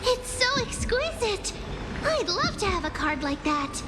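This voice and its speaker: A woman's voice: very high voice, sing-song, measured pace, very noisy, exaggerated voice. A woman voicing a small, cute, eager girlish companion in a video game, with bubbly, exclaiming speech. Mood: delighted, eager.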